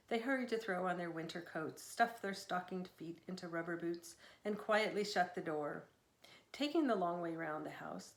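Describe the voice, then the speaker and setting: Echoey woman reading a story aloud. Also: lowish voice. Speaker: author reading from own book. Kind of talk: narrative book reading. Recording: echoey room sound.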